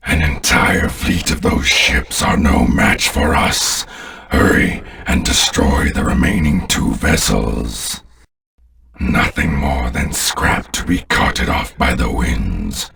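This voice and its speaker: Slow, abyssally deep alien warlord. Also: male voice, bright, forceful tone, recorded from a distance, heavily acted. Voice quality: growling, gravelly. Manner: imperious, grandiose, slow deliberate delivery. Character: ancient alien warlord villain, menacing, contemptuous, commanding. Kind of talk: dramatic video game villain voice acting.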